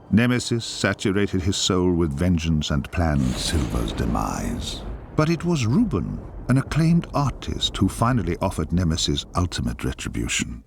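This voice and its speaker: Casting: ominous storyteller in a dark fantasy game, solemn and theatrical, rock-bottom register, dramatically swooping intonation. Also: male voice, low-pitched, vocal fry, background noise, heavily acted. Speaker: narrator. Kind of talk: dramatic scripted fantasy narration.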